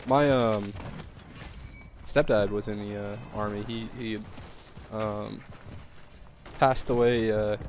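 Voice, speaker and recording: A man's voice: lowish voice, steady tone, takes it slowly, pauses often, darkish tone, slight background noise. A young man with a nasal, congested voice, chatting casually while playing a shooter, rambling with many fillers and restarts. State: congested from sickness. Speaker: gaming streamer.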